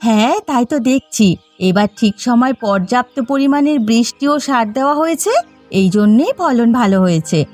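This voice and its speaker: A gentle widowed mother from a village cartoon, acted by a woman. She sounds tender and long-suffering, with an expressive, moving pitch and music behind her. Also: fairly quick, lightly acted. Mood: hopeful. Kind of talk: animated moral story voice acting.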